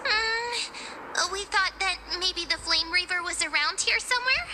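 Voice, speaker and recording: A woman's voice: very high voice, unhurried, noisy background. A woman voicing a small, childlike, wide-eyed companion creature in a video game, full of curiosity. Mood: curious, amazed. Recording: music under the voice.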